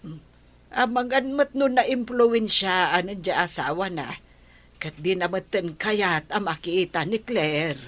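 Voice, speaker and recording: A patient old grandmother who speaks softly and soothingly, as a woman acts her out. Her voice sits low for a woman. She is a figure in a homespun radio drama. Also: slow pace. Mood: fond, soothing. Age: older.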